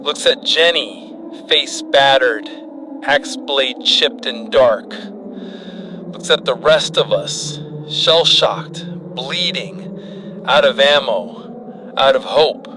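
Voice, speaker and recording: A man narrating scripted horror dramatically and gravely, in clipped, halting phrases with heavy pauses. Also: medium pitch, animated, noisy background.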